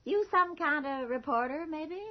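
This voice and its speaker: An actress playing a shrewd, bossy small-town storekeeper with a folksy, insistent way of talking in a radio drama. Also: female voice, high voice, expressive, moderate pace. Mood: slyly polite. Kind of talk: 1980s radio drama acting. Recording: muffled old radio broadcast.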